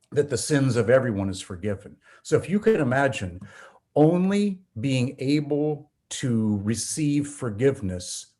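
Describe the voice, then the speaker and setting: A man, a pastor and Bible professor, explaining scripture in an earnest, preacherly way, slowing and speeding up for emphasis. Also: medium pitch, mellow. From North America. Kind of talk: teaching conversation.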